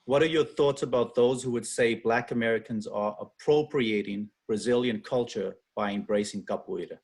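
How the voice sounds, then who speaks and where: A man's voice: mid-range voice, moderate pace, darkish tone, slightly rough. A man on a video call moderating a panel, asking questions in a direct way.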